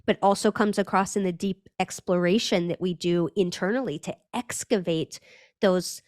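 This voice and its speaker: A woman's voice: lowish voice, moderate pace, a little mellow, no echo, studio quality. A woman from Northern California, a brand strategist talking as a podcast guest, thoughtful and searching, with frequent restarts. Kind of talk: reflective conversational interview.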